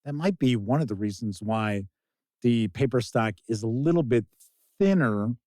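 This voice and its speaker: A man presenting a casual tabletop game review as host, speaking in deliberate, emphatic phrases. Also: medium pitch, animated, darkish tone, no echo, studio quality. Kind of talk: casual scripted-outline product review to camera.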